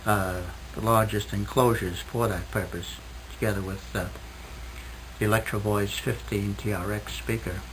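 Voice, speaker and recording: A man giving a technical explanation as a radio interview guest, speaking in a halting, hesitant way with precise wording. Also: lowish voice, steady tone, a bit bright. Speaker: interview guest, loudspeaker inventor. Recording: noisy old 1960s radio recording.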